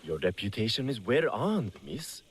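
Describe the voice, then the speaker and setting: An actor playing a smooth, self-serving male smuggler boss in feudal Japan, suave and mock-courteous, in a video game. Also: lowish voice, sing-song, moderate pace, soft background music. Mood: sly, mocking. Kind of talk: scripted video game cutscene acting.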